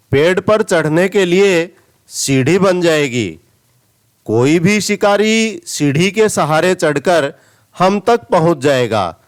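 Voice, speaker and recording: A man reads out a wise old uncle goose from a moral storybook. He is patient and cautioning, explaining very slowly and instructively, in a higher-set voice with wide melodic swings. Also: clean tone.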